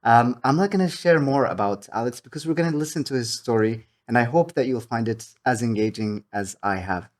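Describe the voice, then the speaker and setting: A man's voice: medium pitch, fairly quick, few pauses. A man hosting a podcast, introducing and questioning his guest in a conversational interview. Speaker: podcast host. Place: home studio at a dining table.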